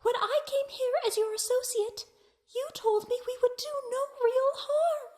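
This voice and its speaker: A woman's voice: extremely high pitch, unhurried, darkish tone. A woman playing an indignant, principled female scientist in a melodramatic radio play, earnest and dramatic. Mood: fed up.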